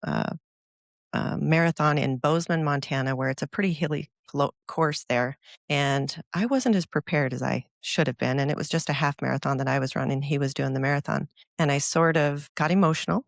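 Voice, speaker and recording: This is a woman hosting an interview, with a gravelly texture to her voice. She speaks in a conversational, encouraging way, and the sound is dry and close to the mic. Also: deep voice, fairly quick, no room sound. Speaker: interview host.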